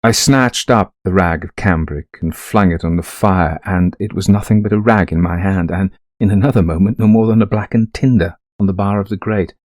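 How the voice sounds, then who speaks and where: An actor playing a middle-aged, greying widower in a ghost story, confiding an eerie haunting to a friend in genteel, old-fashioned phrasing. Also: male voice, low-pitched, normal speed, few pauses, darkish tone, no room sound, lightly acted. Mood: rueful, horror-stricken. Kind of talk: dramatised first-person ghost story narration.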